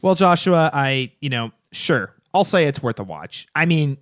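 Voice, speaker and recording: A man's voice: fairly high pitch, very animated, a little slow, no room sound, clean studio sound. A man co-hosting a podcast in casual, informal banter, repeating phrases for emphasis. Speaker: podcast co-host.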